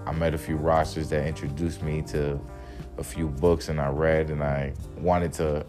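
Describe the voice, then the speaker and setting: Very deep-voiced man, laid-back. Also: level tone, normal speed, music in the background. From Dominican, raised in Jersey City. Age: 30s. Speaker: guest, music producer. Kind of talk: casual interview chat.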